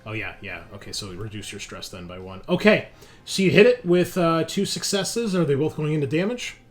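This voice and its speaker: A man's voice: a little high, expressive, brisk. A man acting as game master, narrating and explaining rules in an informal, sweary way. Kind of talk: casual tabletop game narration and rules talk. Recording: faint music behind. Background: faint music.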